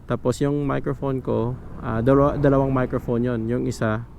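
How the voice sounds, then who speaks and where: A man's voice: medium pitch, normal speed, creaky, dull audio. A man vlogging from his motorcycle, chatting casually through a helmet microphone with lots of fillers. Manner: relaxed, chatty, many fillers. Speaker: motovlogger. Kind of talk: casual tutorial vlog. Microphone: helmet microphone into action camera. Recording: wind and engine noise from a helmet mic.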